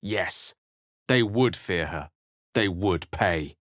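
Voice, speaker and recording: Low, solemn fantasy game storyteller. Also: male voice, expressive, a bit bright, dry recording, studio quality, heavily acted. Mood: dramatic, grim. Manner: measured, dramatic storytelling. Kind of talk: scripted fantasy game narration.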